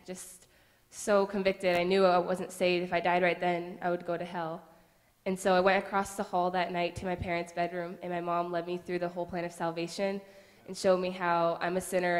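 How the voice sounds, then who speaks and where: A young woman from Connecticut, around nineteen, tells her faith story in an earnest, plain and steady manner. Her voice sits somewhat low and stays even, with little melody. Her voice hangs in the long echo of a church sanctuary. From Connecticut, USA. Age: about 19. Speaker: church member giving testimony. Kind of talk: personal testimony in a church service.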